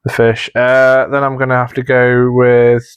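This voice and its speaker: A man's voice: mid-range voice, monotone, unhurried, clean tone, muffled recording. A man judging entries on a podcast, talking casually in a hesitant, polite way. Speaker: podcast judge of audio tasks. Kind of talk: casual unscripted podcast chat.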